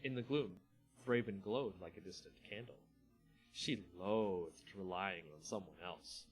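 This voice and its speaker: A man reading a fantasy story aloud as a theatrical, deliberate dramatic narrator. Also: low-pitched, faint music behind.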